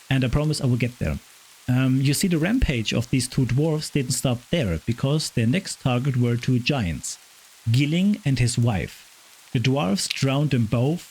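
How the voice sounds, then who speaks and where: A man with a raspy voice hosting a podcast, retelling myths in a chatty way, stumbling over hard names. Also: mid-range voice, a little mellow, rough recording. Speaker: podcast host. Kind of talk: casual storytelling of Norse myth.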